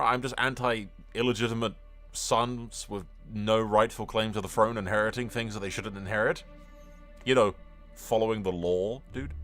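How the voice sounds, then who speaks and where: A man's voice: lowish voice, fairly bright tone. A man hosting a gaming video, rambling casually through his commentary with sweary, jokey asides to the audience. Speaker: host of a gaming video channel.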